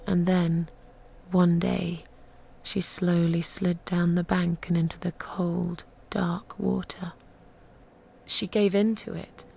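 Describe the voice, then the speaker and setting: An actress playing a sardonic, eerie woman storyteller, delivering horror tales in a creaky voice with deliberate pauses and drops toward a whisper. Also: lowish voice, dark tone, a little hiss or hum. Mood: hushed, mournful. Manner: slow, deliberate, often dropping to a whisper. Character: sardonic, eerie woman telling a dark cat legend.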